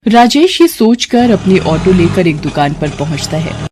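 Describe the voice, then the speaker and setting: A woman's voice: fairly low pitch, animated, a little slow, background noise. A woman narrating a scripted story as a storyteller.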